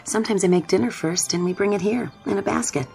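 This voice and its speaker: An actress playing a friendly, kindly woman in a radio drama, gentle and conversational. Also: medium pitch, quick pace, gravelly, lightly acted. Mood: cheerful, chatty. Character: friendly, chatty young woman, kindly and puzzled. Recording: radio drama with music behind.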